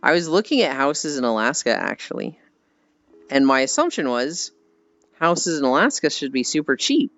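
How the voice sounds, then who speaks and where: A man's voice: on the high side, big pitch swings, moderate pace, fairly bright tone, dry recording. An American man livestreaming in a casual, rambling, self-deprecating way, swearing casually as he talks to viewers. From United States. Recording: slightly dull audio with music behind. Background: background music.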